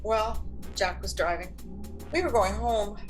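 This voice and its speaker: An older woman in an amateur audio play playing a calm, reassuring wife who also tells the story. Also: mid-range voice, a little slow, faint music behind, close to an everyday voice. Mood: narrating. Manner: soothing, practical.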